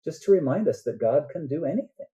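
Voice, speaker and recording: An older man speaking earnestly and deliberately as a novelist guest in a reflective podcast interview. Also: on the high side, expressive, dark tone. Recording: dull, muffled lo-fi sound.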